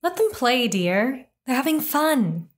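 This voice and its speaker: High-voiced gentle mother, played by a woman. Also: big pitch swings, slow, heavily acted. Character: gentle, protective farm mother. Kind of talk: audio drama acting.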